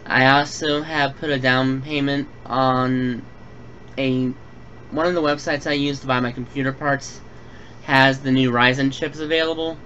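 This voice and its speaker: A man's voice: mid-range voice, steady tone, unhurried, sharp tone. A young man hosting a gaming channel, talking through a build tutorial and then a casual personal update with many fillers, recorded at home. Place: home room. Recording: dull lo-fi home recording with faint hiss.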